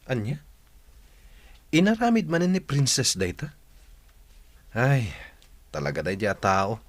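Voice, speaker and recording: A man playing a sympathetic, gently scolding office friend in an amateur serial drama. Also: mid-range voice, huge pitch swings, measured pace, no room sound. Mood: chiding, concerned.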